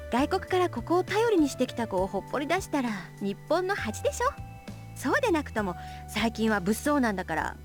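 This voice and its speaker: A woman playing a cheerful, bossy big-sister teacher in a Japanese visual novel. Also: sits high.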